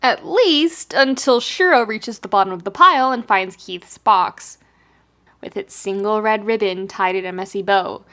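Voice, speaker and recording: A woman reading fiction aloud as a podfic narrator, in an expressive scripted storytelling style. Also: mid-range voice.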